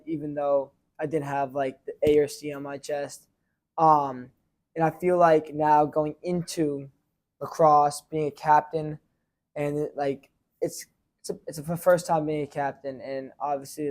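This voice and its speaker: A teenage boy talks about being a sports team captain in a relaxed, mellow voice. He wanders through his answers, restarting sentences and filling gaps with "like" and "I feel like." His tone is casual and unpolished. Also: a little high. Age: teens. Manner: rambling, lots of fillers and restarts. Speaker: high school lacrosse goalie and captain.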